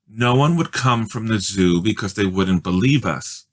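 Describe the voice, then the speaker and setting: A man voicing a bossy, determined young girl, an older sister in a storybook, with emphatic, deliberate delivery. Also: on the low side, lively intonation. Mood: insistent.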